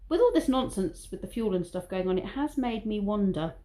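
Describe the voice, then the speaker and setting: A woman's voice: medium pitch, lively intonation, a little fast, warm tone. A woman talking casually to camera in a vlog, chatty and prone to rambling. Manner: rambling, chatty, self-aware waffling. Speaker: vlogger.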